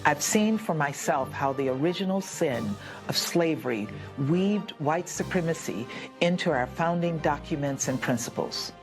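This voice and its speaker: Low-voiced woman giving a formal speech. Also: expressive, moderate pace, over music. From southern United States.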